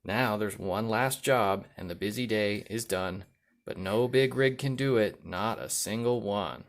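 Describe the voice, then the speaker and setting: A man reading a children's picture book aloud, with rhythmic delivery and deliberate emphasis on the sound words. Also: on the low side. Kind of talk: read-aloud children's storytelling.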